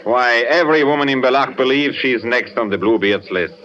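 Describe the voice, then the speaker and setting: An actor playing a stern, formal police colonel, questioning with authority in 1940s radio suspense style. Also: male voice, medium pitch, fairly quick, a bit bright, close to an everyday voice. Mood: brisk, skeptical. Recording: dull, hissy vintage radio recording.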